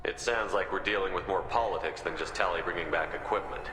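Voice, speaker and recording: An actor playing a calm, level-headed military commander in a video game, direct and diplomatic. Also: male voice, low voice, quick pace, a little hiss or hum. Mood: calm, inquiring. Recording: echoey hall, music behind.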